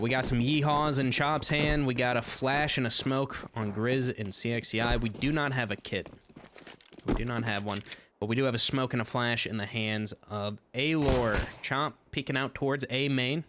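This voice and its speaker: Man, midwestern accent, esports caster, relaxed joking play-by-play, mid pitch, noisy stream audio. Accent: Midwestern American. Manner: loose, joking, talks back to chat. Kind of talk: play-by-play commentary mixed with chat replies.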